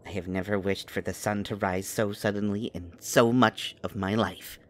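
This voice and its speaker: A man's voice: on the low side, steady tone, moderate pace, a bit bright, close to an everyday voice. Man playing a wordy, bookish, cautious magic scholar. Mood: earnest. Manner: wordy, polite, stammers when nervous. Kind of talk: urban fantasy audio drama acting.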